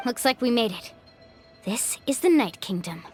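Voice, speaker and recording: A woman's voice: on the high side, animated, normal speed, darkish tone, soft background music, close to an everyday voice. A woman voicing a composed, focused young female adventurer in a video game, matter-of-fact in manner.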